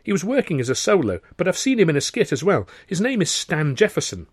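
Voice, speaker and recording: A man's voice: a little high, big pitch swings, breathy edge, no echo, voice pushed up. A man playing a flustered eager young comedian. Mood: earnest. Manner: flustered, quick protesting. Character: earnest young male comedian, nervous and eager.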